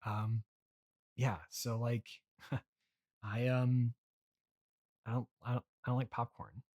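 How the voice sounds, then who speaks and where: A man with a mid-pitched voice hosts an improvised comedy interview. He talks haltingly and awkwardly, hesitating and circling back as he feels his way through each thought. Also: flat delivery, lo-fi sound. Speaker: podcast host.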